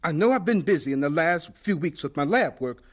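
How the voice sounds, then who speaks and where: An actor playing an earnest, hesitant, polite professor and lab director in a radio drama, fretful but patient. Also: male voice, fairly high pitch, lively intonation, fairly quick. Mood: firm, displeased. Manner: hesitant, polite, turning indignant when challenged.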